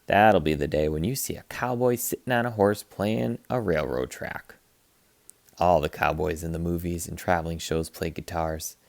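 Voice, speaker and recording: A man reading a children's picture book aloud like a teacher, in an expressive, playful way. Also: sits low, moderate pace, creaky, no echo. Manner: expressive, playful, acts out sound effects.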